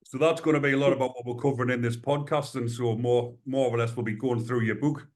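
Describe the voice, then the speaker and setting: A man's voice: mid-range voice, fairly even intonation, quick pace. A man hosting a podcast, asking loosely phrased, chatty questions. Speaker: podcast host. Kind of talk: interview questions and introductions.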